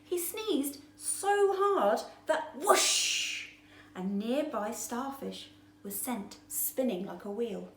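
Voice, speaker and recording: A woman reading her own children's picture book aloud with slow, expressive storytelling. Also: fairly high pitch. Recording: roomy echo, faint background noise.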